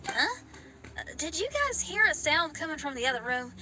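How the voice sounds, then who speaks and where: A woman playing a spirited, warm young female rocker in a video game, with hearty, folksy, expressive delivery. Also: sits high, normal speed, heavily acted. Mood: startled, exasperated. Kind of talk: animated video game voice acting. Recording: loud music behind the voice. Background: game music.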